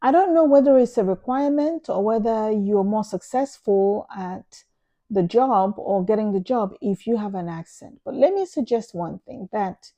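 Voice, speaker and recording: A Nigerian woman, a native Igbo speaker, talking to camera in an earnest, emphatic way, explaining her views at a measured pace. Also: medium pitch, dark tone. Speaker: vlogger. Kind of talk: opinion monologue to camera.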